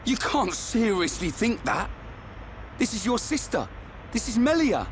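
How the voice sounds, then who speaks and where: A man's voice: sits high, big pitch swings, measured pace. A man playing a hot-headed, blunt, loyal young fighter in a video game. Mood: indignant. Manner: blunt, indignant. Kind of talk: video game cutscene acting. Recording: faint ambient noise.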